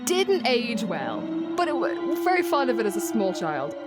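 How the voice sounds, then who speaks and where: A woman's voice: on the high side, huge pitch swings, normal speed, loud music behind the voice. An Irish woman chatting casually as a guest, telling stories in a wry, self-deprecating way. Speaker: podcast guest. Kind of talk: casual storytelling chat.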